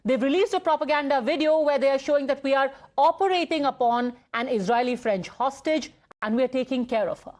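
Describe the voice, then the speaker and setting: A woman news anchor interviewing a guest on television, asking questions in a measured, formal way. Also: high-pitched, a little mellow.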